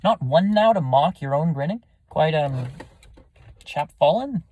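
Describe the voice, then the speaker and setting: A man's voice: on the high side, expressive, unhurried, a little mellow, slightly rough. A man playing a melancholy, bitterly wry prince, musing theatrically in a Shakespearean stage speech. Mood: bitter mockery.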